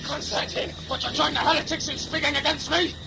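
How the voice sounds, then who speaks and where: High-pitched, boastful, boozy warlord. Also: male voice, fairly even intonation, vocal fry, loud music behind the voice, full character voice. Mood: menacing. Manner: theatrical, grandiose, drawn-out delivery. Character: boastful, boozy, bloodthirsty warrior lord, volatile and proud. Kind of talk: audio drama acting.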